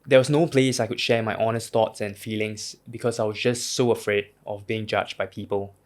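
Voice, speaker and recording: A man's voice: on the low side, steady tone, normal speed. A man reading a scripted advertisement in a personal, sincere tone. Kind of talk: scripted ad read.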